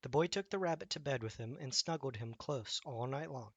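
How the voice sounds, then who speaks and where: A man reading a children's bedtime story aloud as an expressive storyteller. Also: medium pitch, brisk, breathy edge, no echo.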